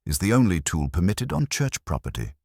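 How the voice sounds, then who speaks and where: A man voicing a courteous, quietly ironic police inspector in a deadpan, understated manner for an audiobook mystery. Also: sits low, brisk, breathy edge, dry recording, clean studio sound, heavily acted.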